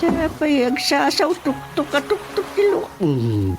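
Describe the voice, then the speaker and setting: A man's voice: very high-pitched, wildly swooping pitch, measured pace, exaggerated voice. A man acting a self-important, flustered elderly village chairman with an Ilocano accent in a radio drama comedy. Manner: pompous, muttering, exclamatory. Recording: music behind the voice. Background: music.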